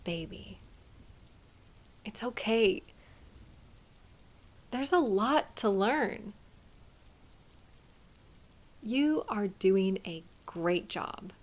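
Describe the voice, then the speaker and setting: A woman playing a tender, doting girlfriend who soothes and gently coaxes her partner in an intimate one-sided roleplay. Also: medium pitch, expressive, drawn-out words, long pauses. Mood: tender, soothing. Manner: soothing, affectionate, gently coaxing, softly playful.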